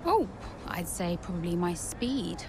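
Over background noise comes a young British woman racing driver in a video game. Her voice sits low, and she banters dryly, cocky and teasing. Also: big pitch swings, a little slow. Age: young adult. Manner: dry, playful banter. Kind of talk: video game cutscene acting.

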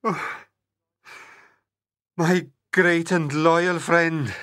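A man playing a grieving, hot-tempered prince in a dramatised storybook legend, speaking deliberately. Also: mid-range voice, fairly bright tone, dry recording, studio quality. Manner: slow, deliberate, dramatic delivery. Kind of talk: dramatised storybook reading for learners.

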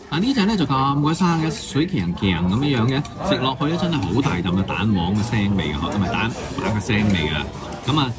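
A man giving a chatty, casual food review voice-over, musing out loud and mildly critical. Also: mid-range voice.

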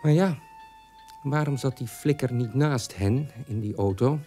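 An actor in a radio play monologue, playing a bitter, self-loathing son of a war criminal who broods and questions himself. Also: male voice, mid-range voice, unhurried, mellow, faint music behind, close to an everyday voice. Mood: dark self-reproach.

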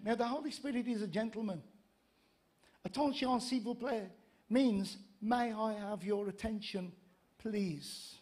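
A male pastor in his fifties with a north-east English accent speaks in a high-pitched voice. He preaches conversationally from a church stage, moving between humorous storytelling and repeated, emphatic points. He speaks into a handheld microphone. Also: darkish tone. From Gateshead, north-east England. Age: 50s. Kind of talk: sermon with humorous anecdotes.

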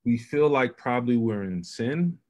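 A man's voice: mid-range voice, lively intonation, normal speed, darkish tone. A man hosting an interview over a video call, earnest and affirming as he asks open questions. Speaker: host. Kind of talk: conversational interview.

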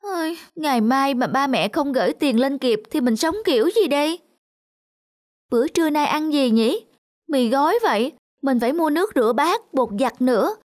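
A woman's voice: high voice, expressive, moderate pace, dry recording. A woman voicing a worried, thrifty female student in a cartoon, fretting aloud to herself. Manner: fretful talking to herself.

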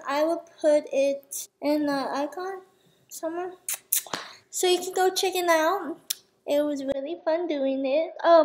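A young woman chatting casually and rambling to her viewers, then talking while driving a car. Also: very high voice. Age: young adult. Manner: chatty, rambling, self-interrupting. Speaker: vlogger. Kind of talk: casual vlog chat. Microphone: camera mounted on dashboard. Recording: loud road noise in moving car. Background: car and road noise.

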